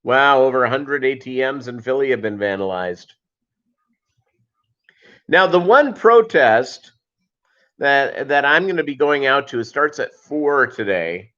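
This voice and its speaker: A man's voice: medium pitch, unhurried, pauses often. Rambling man on a dull lo-fi livestream. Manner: rambling, joking, deadpan asides. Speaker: host of a live fishkeeping chat show. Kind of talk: casual livestream chat.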